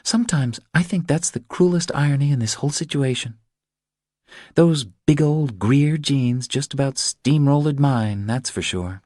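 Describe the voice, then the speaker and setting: A man's voice: medium pitch, normal speed, darkish tone, no room sound, studio quality, voice pushed up, natural voice. A man voicing an earnest young mother who confides her troubles in an audiobook. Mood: wry, confiding. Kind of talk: audiobook character dialogue.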